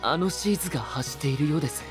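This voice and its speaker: Composed, courteous anime gentleman played by a man, fairly high voice, formal delivery, music behind. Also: lively intonation, rapid-fire, lightly acted. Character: polite, composed, considerate young gentleman. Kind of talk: Japanese anime audio drama acting.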